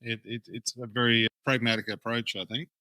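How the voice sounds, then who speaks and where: A man's voice: medium pitch, fairly even intonation, fairly quick, pushed and bright, no room sound. A man co-hosting a podcast, putting questions and responses to a guest in casual interview chat.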